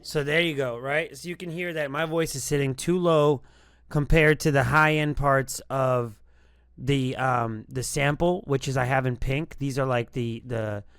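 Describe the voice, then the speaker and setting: Male tutorial host, informal and loosely rambling, medium pitch, very dry sound. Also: steady tone, unhurried, a bit bright, clean tone, no room sound. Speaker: music production tutorial host. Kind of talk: casual tutorial talk.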